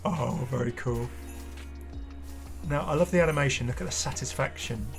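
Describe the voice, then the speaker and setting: A man's voice: medium pitch, normal speed, vocal fry, soft background music. A man giving casual, off-the-cuff video commentary as a gaming host, rambling and hesitant with apologetic asides.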